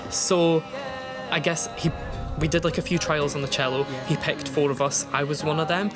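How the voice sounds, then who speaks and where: A man in his twenties, a student musician, talking about himself in a relaxed, chatty interview. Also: a little high, moderate pace, music in the background. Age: 20s. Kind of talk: casual interview.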